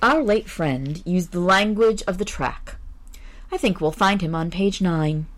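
A woman voicing a suave, calm male amateur detective who reasons things out deliberately. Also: lowish voice, close to an everyday voice. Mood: calm reasoning.

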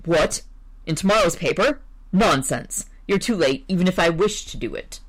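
A woman's voice: fairly low pitch, animated, normal speed, poor audio. A woman voicing a stern, brusque male newspaper editor who speaks in clipped commands. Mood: curt.

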